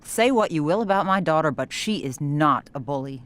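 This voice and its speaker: Low-voiced, animated protective mother. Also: moderate pace. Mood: protective, skeptical. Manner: pointed, dry. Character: protective, skeptical middle-aged mother. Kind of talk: naturalistic video game acting.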